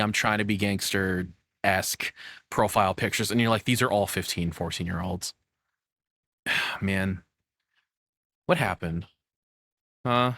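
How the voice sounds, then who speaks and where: A man's voice: fairly low pitch, fairly bright tone, no room sound, clean studio sound. A man giving casual, off-the-cuff reaction commentary, rambling and joking with some exasperation and swearing. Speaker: reaction streamer.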